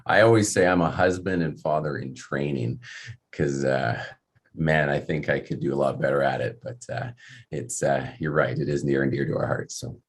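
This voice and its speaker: A man's voice: rumbling low, normal speed, slightly rough. A man hosting a podcast, chatting casually and rambling with lots of fillers and restarts. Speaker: podcast host. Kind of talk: casual interview chat.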